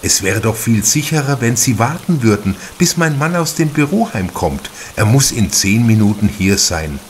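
A man puts on a solicitous housewife in a crime audiobook. The voice is raised in pitch, polite and full of urging concern, over faint background noise. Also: sing-song, moderate pace, natural voice. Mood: worried, urging. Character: worried housewife, solicitous mother of a pupil.

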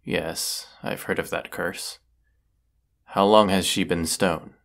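A man playing a proud, brooding, sardonic wizard of the woods in a scripted audio roleplay, speaking haughtily and deliberately. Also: deep voice, fairly even intonation, creaky, exaggerated voice. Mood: grave, determined.

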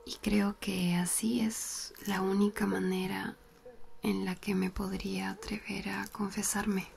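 A woman's voice: mid-range voice, little pitch movement, a little slow, creaky, a little hiss or hum. A woman playing a giggly whispering flirt. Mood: flirty whisper. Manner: soft close whispering, giggly. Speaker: ASMR roleplay performer. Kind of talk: whispered ASMR roleplay.

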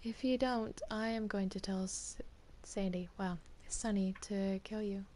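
A woman's voice: medium pitch, steady tone, measured pace, a little mellow, slight background noise, natural voice. A woman voicing a bossy, menacing female villain in an improvised game roleplay, curt and threatening.

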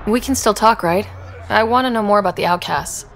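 Wry space heroine with a creaky edge woman acting. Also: medium pitch, brisk, a little hiss or hum, lightly acted. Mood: curious. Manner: casual, inquisitive, wry. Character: young female space explorer heroine, wry, direct. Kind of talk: scripted game dialogue.

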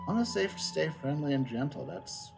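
A middle-aged man livestreaming a game, chatting casually in a rambling, halting way and reading out on-screen text. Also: fairly high pitch, big pitch swings, creaky. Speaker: game streamer. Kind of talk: casual livestream chat and read-out. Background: game music.

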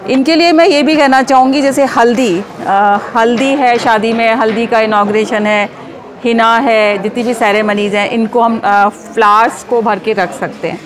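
Middle-aged lady walking thru her craft stall, slightly high voice, loud crowd chatter behind. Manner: unhurried, explaining, mixes Hindi and English. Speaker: startup owner showing products. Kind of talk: casual product walkthrough at a stall. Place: indoor exhibition hall stall. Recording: busy expo crowd behind.

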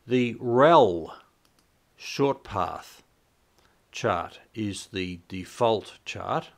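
Low-pitched Australian man explaining software. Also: animated, very slow. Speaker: shortwave radio hobbyist, presenter. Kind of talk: instructional software walkthrough narration.

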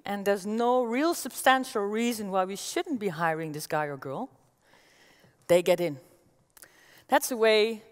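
A woman in her forties with a Dutch accent, giving an energetic conference keynote on a stage, talking through a headset mic. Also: mid-range voice. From Netherlands. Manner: energetic, gestures as she walks the stage. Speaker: keynote speaker. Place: large auditorium stage with audience. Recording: long reverb of a large hall.

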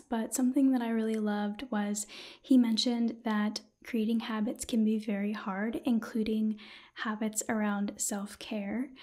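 A woman in her twenties presenting softly in an ASMR style, with a breathy, often whispered voice. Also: a little high. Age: 20s. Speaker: ASMR video creator reading a sponsor message. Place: small indoor room.

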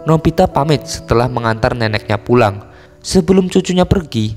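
A man's voice: medium pitch, a little mellow. A man narrates a film recap from a script, in an informal storytelling manner with casual slang asides. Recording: voice-over with long reverb over music. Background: music.

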